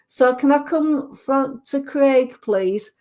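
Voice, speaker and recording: A Yorkshire woman giving a psychic reading on a radio show, her voice sitting a little high and her pace unhurried. She talks gently and reassuringly, trailing off and restarting her sentences as she goes.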